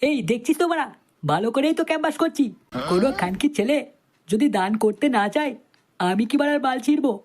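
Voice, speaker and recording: A woman's voice: high-pitched, lively intonation, fast, darkish tone, slight background noise. A woman voicing a foul-mouthed, irritable village man who declaims announcements in a comedy cartoon. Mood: irritated. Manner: declaiming, brash.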